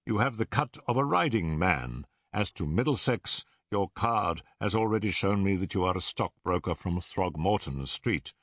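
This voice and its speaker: Brisk, commanding detective with husky voice acted by a man. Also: low voice, animated, a bit bright, dry recording, studio quality, exaggerated voice. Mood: incisive, commanding. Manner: crisp, incisive, condescending. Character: brisk, self-assured male detective, precise and commanding. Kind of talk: audiobook character dialogue.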